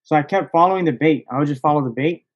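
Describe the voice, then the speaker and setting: Male podcast guest, higher-pitched, excitable casual storyteller, slangy and sweary, slightly lo-fi recording. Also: fast talker. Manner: slangy, excitable, profanity-laced, peppered with "bro" and "dog".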